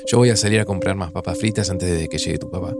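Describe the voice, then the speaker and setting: A man playing an older Argentine father in an audio drama, speaking in a gentle, wistful, reflective way. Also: low-pitched, fast talker, vocal fry, music in the background.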